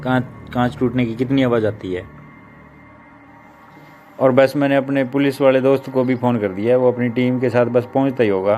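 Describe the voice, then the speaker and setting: A man's voice: mid-range voice, steady tone, normal speed, dark tone, dull audio, natural voice. A man playing a confident, boastful husband who reassures in a children's story. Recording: music behind the voice. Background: music.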